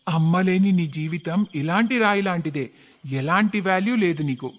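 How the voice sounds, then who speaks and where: A man's voice: high-pitched, normal speed, natural voice. A man voicing a solemn magical child sent by God as a helper in a cartoon moral story. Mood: stern, scolding. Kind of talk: dramatic cartoon story dialogue. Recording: long hall reverb.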